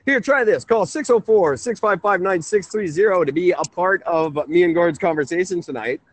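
A man's voice: high-pitched, normal speed. A man in his thirties hosting a casual call-in radio talk show, rambling and correcting himself. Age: 30s. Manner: rambling, chuckling, self-correcting.

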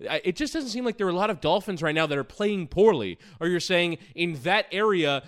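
A man's voice: on the high side, a bit bright. A man co-hosting a sports podcast, talking through his analysis in a conversational style.

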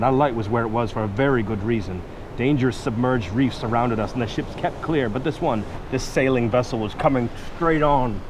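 Man acting a suspenseful lighthouse keeper, mid-pitched. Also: close to an everyday voice. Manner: dramatic, vivid, suspenseful. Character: intense lighthouse keeper recounting a horror tale. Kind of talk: old-time radio drama narration. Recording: noisy vintage radio recording.

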